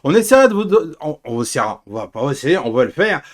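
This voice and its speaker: A man's voice: fairly high pitch, very animated, fast. A man hosting a casual, jokey chat show about geek culture in an informal way. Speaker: podcast host.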